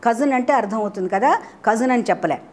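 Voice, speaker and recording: A woman telling a children's story in an animated way, often questioning her listeners and mixing in English phrases. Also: fairly high pitch, fast, slight background noise. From India.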